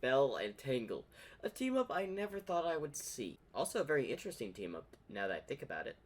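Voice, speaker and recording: Reaction host, mid-range pitch with big swings, muses out loud while commenting on a comic dub. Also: very animated, quick pace. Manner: thinks aloud, repeats phrases.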